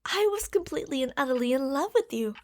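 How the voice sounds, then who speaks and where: Woman playing obsessive stalker girlfriend, high-pitched voice, lovestruck, coaxing, theatrical emphasis, ASMR roleplay monologue. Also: very animated, quick pace. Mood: excited, devoted. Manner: coaxing, explanatory, fond pet names, theatrical emphasis. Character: lovestruck obsessive female stalker from a parallel universe.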